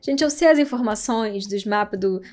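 A woman's voice: mid-range voice, moderate pace, a little mellow. A young woman with a Brazilian accent, hosting a podcast and telling astrology-themed stories in a casual, laughing, slangy way. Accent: Brazilian Portuguese. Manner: chatty, laughing, slangy asides. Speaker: podcast host.

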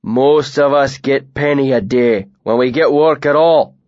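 Man putting on a big mocking mercenary, thick northern accent, loud swaggering drawl, dry muffled audiobook sound. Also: mid-range voice, unhurried, dry recording, voice pushed up, natural voice. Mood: blustering. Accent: northern English dialect, put on. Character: loud brawny bearded male mercenary bully.

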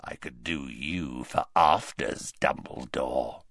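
A man voicing a bloodthirsty male werewolf, raspy and savouring his threats. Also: rumbling low, slow, fairly bright tone, dry recording, voice pushed down, exaggerated voice. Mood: gloating menace. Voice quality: rasping, snarling.

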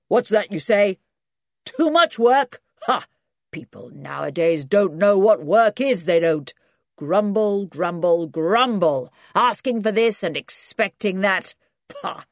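A woman voicing a burly, grumbling old grandfather with a loud, commanding, scornful manner. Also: medium pitch, animated, slow pace, no echo, studio quality, pitched above the performer's own voice.

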